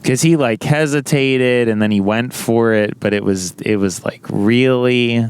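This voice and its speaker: A young man with a naturally loud voice, rambling casually to the camera with many restarts and self-corrections. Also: medium pitch, measured pace, clean tone, dry recording. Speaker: vlogger.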